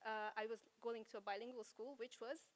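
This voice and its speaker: A woman's voice: on the high side, steady tone, fairly quick. A Hungarian-accented woman in her forties giving a keynote talk at a conference, telling her story with rhetorical questions. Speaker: keynote speaker. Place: conference hall.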